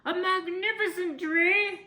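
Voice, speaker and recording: A woman voicing an excitable wealthy gentleman in a storybook, grand and exclamatory. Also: extremely high pitch, slow pace, reverberant, pitched above the performer's own voice, exaggerated voice. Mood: delighted, grand. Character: excitable wealthy gentleman delighted with his Christmas tree.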